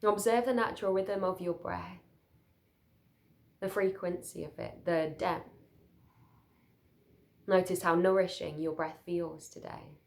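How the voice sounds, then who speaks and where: A woman leading a guided sleep meditation, speaking soothingly and gently in short, unhurried phrases with pauses. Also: fairly low pitch, fairly even intonation, creaky.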